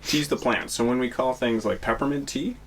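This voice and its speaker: A man's voice: medium pitch, a little fast, background noise. A young man hosting a podcast, giving rambling, casual explanations and often searching for words. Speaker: podcast host. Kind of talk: casual chat.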